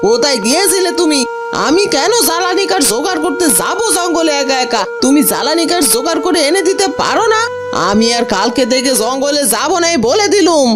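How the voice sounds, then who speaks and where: Scolding greedy aunt for fairy-tale cartoon woman acting, high, very animated voice, sharp tongue, music underneath. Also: fairly quick. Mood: angry, nagging. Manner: sharp nagging, taunting, exclamatory. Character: greedy, bad-tempered, scolding village aunt, middle-aged.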